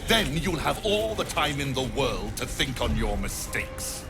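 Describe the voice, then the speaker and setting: Smug necromancer villain, wildly swooping delivery. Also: male voice, mid-range voice, big pitch swings. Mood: threatening, mocking. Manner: theatrical, drawn-out, condescending. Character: smug, gloating male necromancer villain, vain and menacing. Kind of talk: video game villain acting. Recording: loud music behind the voice. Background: game soundtrack music.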